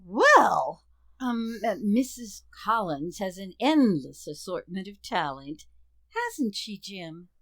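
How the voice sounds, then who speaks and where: A woman's voice: medium pitch, sing-song, takes it slowly, a bit bright, dry recording, full character voice. A woman playing a genteel suburban hostess in a radio comedy, strained, polite and dryly sarcastic. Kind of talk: radio comedy theater acting.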